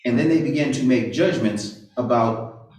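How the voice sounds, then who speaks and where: A man preaches to a live group and keeps firing off rhetorical questions, tagging his points with "right?". His voice is at a middle pitch and sounds distant from the microphone. Strong room echo surrounds everything he says. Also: moderate pace, a little mellow. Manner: asks rhetorical questions and tags sentences with "right?". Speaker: pastor or Bible teacher. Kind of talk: interactive sermon. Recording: roomy echo, far from the mic.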